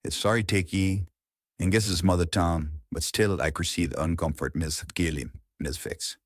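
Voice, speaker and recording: A man with an Indian accent giving an informal instructional talk in an earnest, halting way, repeating words for emphasis. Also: sits low, fairly even intonation, slightly rough, dry recording, clean studio sound. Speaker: public speaking coach.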